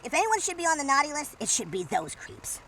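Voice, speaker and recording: A woman's voice: high voice, huge pitch swings, fast talker, fairly bright tone, slight background noise, full character voice. A woman playing a jaded, irritable gate guard in an audio drama, grumbling sardonically. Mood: irritated.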